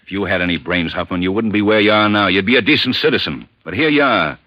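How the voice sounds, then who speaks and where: A man acting as a stern American cop running an interrogation in vintage radio drama. His voice is fairly low, his manner scornful and relentless. The recording is muffled and dry. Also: quick pace, no room sound, lightly acted. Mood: threatening, insistent. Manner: hard, insistent, scornful. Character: stern, needling male police chief interrogator.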